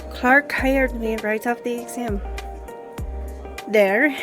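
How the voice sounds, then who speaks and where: Woman acting a slow-spoken, composed young lady lawyer. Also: fairly high pitch. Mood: wistful, hesitant. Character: composed young woman lawyer, confident boss, bashful about romance. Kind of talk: audio roleplay acting.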